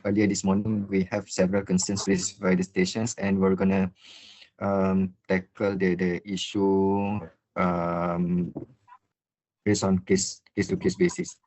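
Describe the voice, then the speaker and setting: A man taking questions in an informal work meeting, speaking in a hesitant, measured way. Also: deep voice, a little mellow, poor audio. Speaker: meeting co-presenter.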